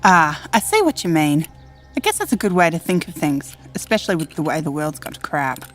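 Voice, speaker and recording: A woman playing a conscientious, gently teasing survivor in a dystopian audio drama, speaking casually and a little hesitantly. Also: deep voice, very animated, a little fast. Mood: teasing, thoughtful. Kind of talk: naturalistic audio drama dialogue.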